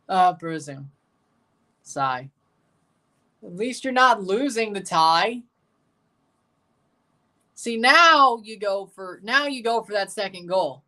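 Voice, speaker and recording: A man's voice: sits high, pushed and bright. A man in his twenties hosting a live match watchalong, talking casually and joking with his viewers between calls of the play. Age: 20s. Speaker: livestream host.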